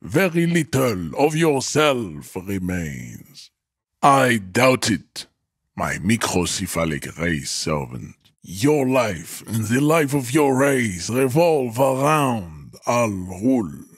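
Huge pompous supremacist strongman from a video game, contemptuous, slow n husky, swoops around in pitch. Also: sing-song, no echo, studio quality, heavily acted. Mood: contemptuous, commanding. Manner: pompous, slow declamatory delivery. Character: towering pompous pseudo-scientific racist strongman, contemptuous and grandiose. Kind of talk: video game character acting.

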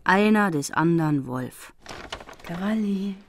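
An actress playing a determined female investigator in a crime audio drama, narrating like a diary in a brisk, matter-of-fact way. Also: fairly low pitch, animated, slight background noise. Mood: sober. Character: determined female investigator reporting on her travels.

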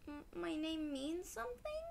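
A woman's voice: very high voice, big pitch swings, unhurried, vocal fry, a little mellow, voice pushed up, full character voice. A woman voicing a sensitive but spirited young schoolgirl from a storybook, in a childlike manner. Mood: doubtful.

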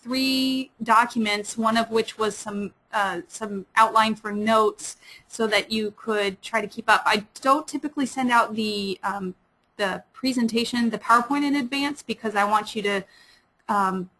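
A woman with a Midwestern accent presents a webinar, speaking quickly and stopping often on fillers and restarts. Her voice sits slightly high. She sounds like a librarian walking an audience through her slides. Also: pushed and bright, poor audio. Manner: fast-talking, many fillers and restarts. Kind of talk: webinar lecture.

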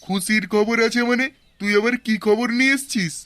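A very high male voice with a West Bengali accent gives us a bossy, grumbling festival organiser played by a man. He is brash and full of bluster, in a homemade cartoon style. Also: fairly quick, pushed and bright. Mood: surprised, insistent. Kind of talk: amateur comic cartoon dub.

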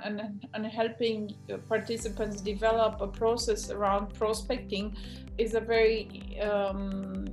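A woman's voice: medium pitch, darkish tone, poor audio. Woman, forties, interviewer, enthusiastic, video call, music bed. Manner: enthusiastic, emphatic questioning. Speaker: program host, interviewer. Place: indoor home office with bookshelves.

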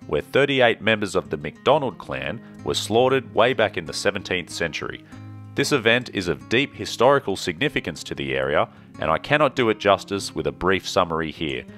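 A man narrating his travel vlog in a calm, measured, scripted way. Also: deep voice. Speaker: travel vlogger.

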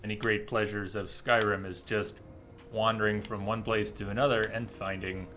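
A man's voice: lowish voice, little pitch movement, normal speed, a bit bright. A man in his thirties giving casual, easygoing live commentary as he streams a game. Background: game music.

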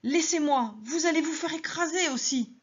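A woman's voice: high voice, moderate pace, pitched above the performer's own voice, close to an everyday voice. A woman voicing an old man trapped under a cart, crying out in pain, on a dull lo-fi recording. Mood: pleading.

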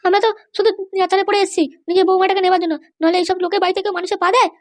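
A very high, shrill voice from a woman plays an aggrieved cartoon mother-in-law. She scolds and complains at a breakneck clip, full of scheming grievance. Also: little pitch movement, rapid-fire. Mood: scolding. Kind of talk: exaggerated cartoon dialogue.

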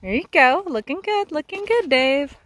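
A woman coaching a horse rider in an outdoor arena, upbeat and teasing, quick to praise. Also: high-pitched, lively intonation, fairly bright tone, no room sound. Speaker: riding instructor.

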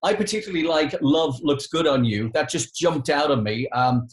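A man's voice: a little high, normal speed. A middle-aged man hosting a chatty interview from a living room, with wordy, roundabout questions. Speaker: radio host, interviewer. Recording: echoey living room video call.

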